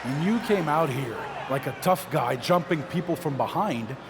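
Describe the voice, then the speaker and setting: Actor as an indignant wrestling leader, echoing arena. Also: male voice, fairly high pitch, lively intonation, moderate pace, natural voice. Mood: scornful, challenging. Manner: scornful, challenging. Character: indignant male leader of a rebel wrestling roster. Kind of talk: in-ring wrestling promo in a video game. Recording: echoing arena with crowd noise.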